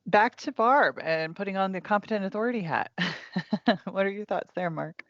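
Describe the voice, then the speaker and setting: A woman's voice: mid-range voice, expressive, normal speed, a bit bright, dry recording, highs cut off. A woman hosting a conversational panel discussion, engaged and prompting others with questions. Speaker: podcast host.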